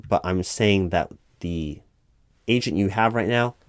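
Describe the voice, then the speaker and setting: A man playing a weary, politely insistent recruiting manager in a one-sided interview roleplay, over-explaining in a halting, repetitive way at normal speaking volume. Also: low voice, dry recording, clean studio sound. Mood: patient advising. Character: exasperated male recruiting manager, polite but increasingly weary. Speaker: job interviewer.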